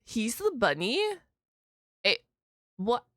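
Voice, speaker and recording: A woman hosting a reaction video in a casual, chatty style, expressive and quick to interject. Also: fairly high pitch, no echo, clean studio sound. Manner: expressive, exclaims and interjects often. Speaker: host of a reaction channel.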